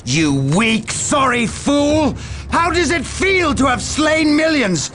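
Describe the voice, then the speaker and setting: A man's voice: very high voice, very animated, slow pace, fairly bright tone, soft background music, heavily acted. A man playing a grandiose, power-hungry traitor warrior in a video game, with theatrical delivery. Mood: scornful outrage. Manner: theatrical, swinging from menace to outrage. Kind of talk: dramatic video game villain acting.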